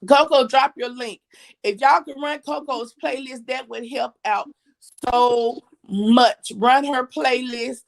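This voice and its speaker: Raspy high-voiced woman host. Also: steady tone, takes it slowly. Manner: chatty, repeats herself, reads viewer comments aloud. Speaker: livestream host. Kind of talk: casual livestream talk.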